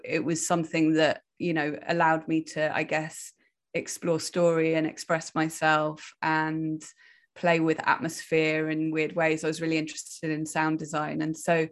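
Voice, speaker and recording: A woman in her thirties speaks in a low, fairly level voice. She answers interview questions thoughtfully, restarting her sentences and laughing often between thoughts. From Wales. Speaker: film director and writer, guest.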